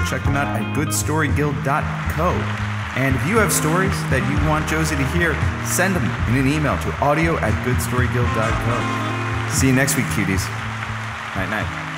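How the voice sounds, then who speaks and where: A man's voice: a little high, close to an everyday voice. An actor playing a droll, rambling male station announcer reading promos and credits in an audio drama. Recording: roomy, loud music underneath.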